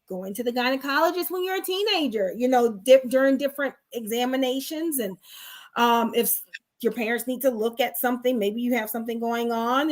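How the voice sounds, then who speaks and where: High-voiced woman therapist explaining earnestly. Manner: earnest, explanatory, asks guest questions. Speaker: co-host and sex therapist. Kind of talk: live online talk show conversation.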